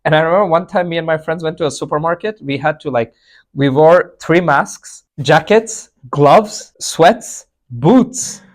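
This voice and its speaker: A man's voice: a little high, unhurried. A young man hosting a podcast, telling stories in a rambling, laughing way with many fillers. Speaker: podcast host. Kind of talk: casual chat.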